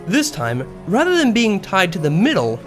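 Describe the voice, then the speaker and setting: Lively, higher-voiced man narrating a story. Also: moderate pace. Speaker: narrator of a fan fiction story. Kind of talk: expressive scripted story narration. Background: background music.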